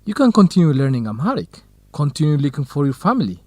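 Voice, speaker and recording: A man acting a gentle, supportive young researcher and loyal friend in a radio drama, speaking in measured, carefully enunciated lines. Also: fairly high pitch, very animated, dry recording. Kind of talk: radio drama acting, clear dialogue for language learners.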